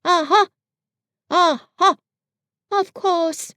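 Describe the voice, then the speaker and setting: A woman voicing a humble, slow-witted male teddy bear in a storybook reading, speaking slowly and softly. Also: extremely high pitch, fairly even intonation, dry recording, voice pushed up. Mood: pleased. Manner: slow, soft and gentle.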